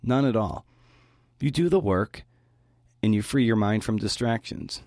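A man's voice: lowish voice, measured pace, darkish tone, no room sound, clean studio sound. A man hosting a podcast, delivering a prepared talk. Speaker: podcast host giving a talk. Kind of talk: prepared talk with interview clips.

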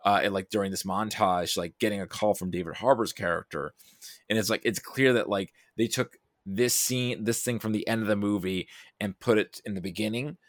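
A man talking casually about films as a podcast guest, thinking aloud in a halting, rambling way. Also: on the low side, no room sound, studio quality. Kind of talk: casual film discussion.

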